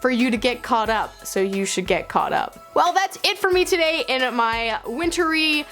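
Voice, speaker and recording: A woman's voice: high voice, expressive, normal speed, fairly bright tone, faint music behind. A young adult woman chatting casually to camera as a book vlog host, with informal emphasis and playful asides.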